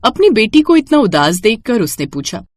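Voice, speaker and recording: A woman narrating a scripted moral story for a cartoon in an expressive storytelling way. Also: on the high side, moderate pace, dry recording, clean studio sound.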